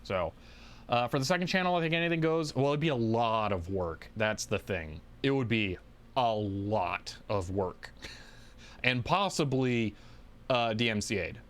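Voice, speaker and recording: A man hosting a livestream, chatting casually and thinking aloud in a halting way as he answers viewers. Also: mid-range voice, animated. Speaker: livestream host.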